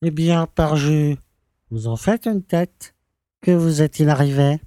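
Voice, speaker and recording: An actor playing a self-important, peremptory village mayor in an audio drama. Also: male voice, a little high, slow, warm tone, dry recording. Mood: imperious. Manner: peremptory, repeats his orders. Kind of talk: French audio drama acting.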